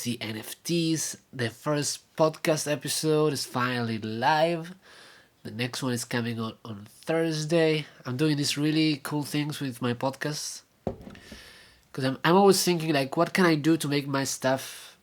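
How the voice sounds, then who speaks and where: Young Argentine man, Spanish native, mid-range voice, casual rambling vlogger. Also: mid-range voice. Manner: rambling, halting, with many fillers and restarts. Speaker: vlogger and podcaster. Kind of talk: casual video update.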